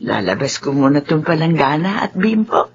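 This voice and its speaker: A woman playing a tender, doting mother in a radio drama, talking in a soothing, drawn-out way. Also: deep voice, very animated. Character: tender, doting mother, soft then calling out. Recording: dull lo-fi audio with faint noise.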